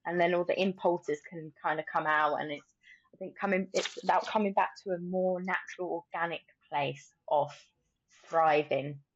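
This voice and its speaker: A woman with a fairly low voice talks casually as a podcast host. Her delivery is informal and reflective, thinking aloud as she goes. The sound is dry and close but a little dull and lo-fi. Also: steady tone, moderate pace, no room sound.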